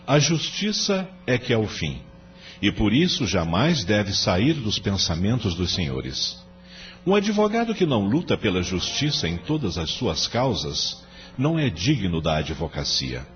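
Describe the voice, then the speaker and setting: An actor playing a solemn, idealistic law professor lecturing in an oratorical, emphatic way in an audio drama. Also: male voice, on the low side, sing-song, measured pace. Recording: dull lo-fi audio. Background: music.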